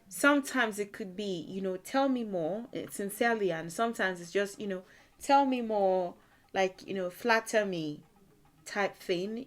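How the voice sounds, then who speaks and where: A woman teaching a language lesson, explaining word meanings patiently and thinking aloud as she goes. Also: mid-range voice. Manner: thinking aloud with frequent fillers and restarts. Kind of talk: explaining vocabulary in a video lesson.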